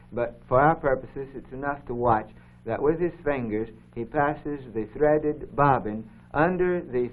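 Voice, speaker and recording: Man explaining on a tinny old broadcast. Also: mid-range voice. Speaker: co-host of an educational program. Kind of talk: unscripted explanatory commentary over film footage. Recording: dull, phone-like 1950s broadcast recording.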